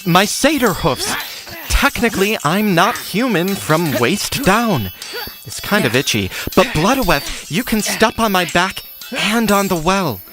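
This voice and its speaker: A man's voice: high-pitched, very animated, unhurried, over music. A man playing an earnest half-human satyr in a theatrical audio drama. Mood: earnest, urgent.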